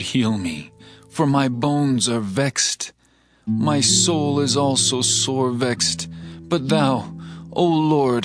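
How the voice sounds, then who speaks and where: Man reading a psalm real slow, guitar playing behind. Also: medium pitch. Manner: slow, prayerful. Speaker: reader.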